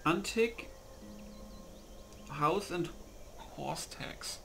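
A man's voice: a little high, lively intonation, drawn-out words, creaky, a bit bright, soft background music. A man doing casual game commentary, muttering to himself as he reads settings aloud and comments loosely. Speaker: gamer recording a mod setup.

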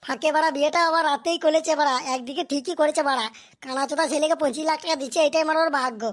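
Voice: sits high, fairly even intonation, rapid-fire, no echo, lightly acted. A performer voicing a cheeky, crude, boastful younger brother in a slapstick comedy cartoon. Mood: gossipy, amused.

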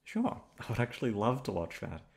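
A shy young male classmate, acted by a man for an ASMR roleplay. His voice sits fairly low. He is sweet-natured and easily flustered, with halting, stammered phrasing. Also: wildly swooping pitch, vocal fry, a little mellow, exaggerated voice. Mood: relaxed, shy. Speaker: voice actor. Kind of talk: ASMR roleplay acting, one-sided dialogue.

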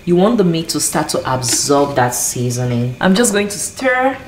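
A woman's voice: sits low, sing-song, moderate pace, noisy background. A woman from Cameroon in her thirties hosting a cooking tutorial in her home kitchen, eagerly explaining each step as she cooks. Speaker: cooking show host. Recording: echoey kitchen with faint music.

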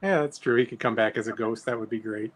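A man's voice: medium pitch, fast talker. A middle-aged man chatting as co-host on a video call, giving short, easygoing reactions. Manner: brief reactions, laughs along. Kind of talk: casual live stream chat. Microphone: webcam video call.

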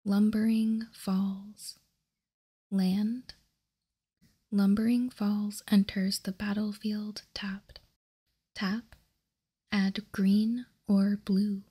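A woman whispering softly for ASMR, reading aloud in deliberate, measured short phrases close to the microphone. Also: medium pitch, little pitch movement. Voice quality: soft, breathy whisper. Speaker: ASMR video host reading trading cards. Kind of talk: whispered ASMR, slow card read-out.

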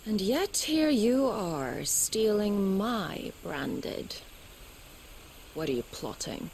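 An actress playing an imperious, cruel and mocking female commander in a video game cutscene, with sneering, drawn-out phrasing. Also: medium pitch, very animated, a little mellow, exaggerated voice. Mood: cold, mocking. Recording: noisy ambient game sound behind.

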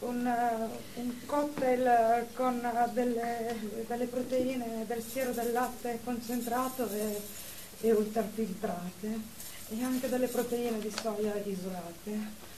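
An awkward, evasive woman patient acted out by an actress in a comic audio drama. She speaks slowly and haltingly in a fairly high voice, with faint noise behind her. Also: steady tone. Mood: hesitant, defensive. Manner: halting, hesitant, repeating herself. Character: awkward, evasive female therapy patient insisting she is fine.

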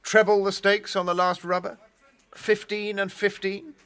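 An actor playing an arrogant, bullying rich card gambler in a radio drama, haughty, goading and blustering. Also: male voice, high voice, flat delivery, unhurried, fairly bright tone, clean tone.

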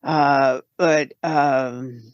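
A woman giving explanatory, halting answers as a guest in an unscripted interview. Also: rumbling low, steady tone, no room sound, highs cut off. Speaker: podcast guest, writer and producer.

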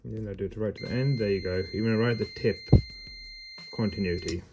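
A man's voice: fairly low pitch, a little fast, over loud music, rough recording. A man, a heating engineer, casually explaining a repair on the job in a customer's home, matter-of-fact while working with his hands. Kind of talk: casual how-to demo on the job. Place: indoors in a customer's home, wooden floor.

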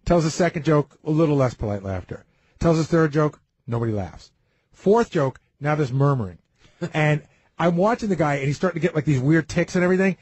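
A man with a husky, slightly high voice tells anecdotes on a casual talk panel. He moves quickly and speaks bluntly, swearing freely. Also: animated, a little mellow, no room sound. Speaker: radio show staffer on a talk panel.

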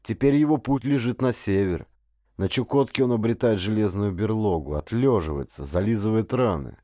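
A man's voice: lowish voice, expressive, moderate pace, dark tone, no echo, studio quality, natural voice. A man voicing a stern, learned father in an audiobook, reading aloud in a grave, emphatic way. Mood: brooding.

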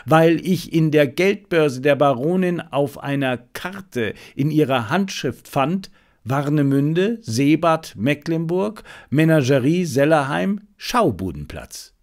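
A sharp-minded detective, voiced by a male audiobook reader. He is confident and analytical. His delivery is clipped and matter-of-fact, in the manner of someone reasoning through a case. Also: fairly high pitch, lively intonation, takes it slowly. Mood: brisk, reasoning. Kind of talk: audiobook character dialogue.

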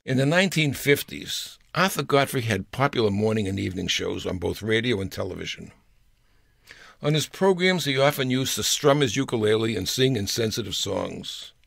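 A man's voice: lowish voice, animated, normal speed, vocal fry, a bit bright, no room sound, studio quality. An older man reading his own humorous personal story aloud in a wry, storytelling manner. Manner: wry storyteller with playful voices.

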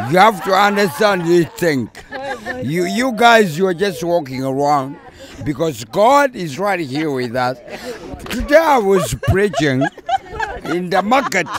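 A man on the street speaking slowly and earnestly about God into a handheld mic. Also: high-pitched. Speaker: passer-by, street preacher. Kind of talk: casual street talk. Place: outdoor market street. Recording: crowd behind.

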